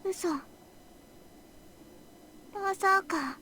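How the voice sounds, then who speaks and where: A woman's voice: very high voice, unhurried, bright, forceful tone, exaggerated voice. A woman playing a forlorn, childlike little girl murmuring in a Japanese visual novel. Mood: lost, stunned.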